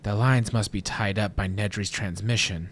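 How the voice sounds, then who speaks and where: Low-voiced practical male colleague played by a man. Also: steady tone, normal speed, a little hiss or hum, lightly acted. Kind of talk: fan audio drama acting.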